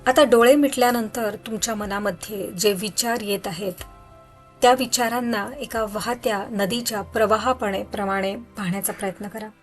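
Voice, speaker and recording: A woman narrates a guided meditation. Her voice sits at a middle pitch, and she gives soothing instructions with unhurried pauses. Music plays behind her. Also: steady tone. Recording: background music under voice.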